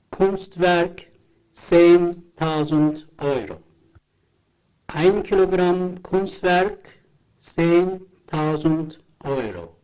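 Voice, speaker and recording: Man slowly chanting repeated slogans. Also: high voice, warm tone, poor audio. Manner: repetitive, chant-like.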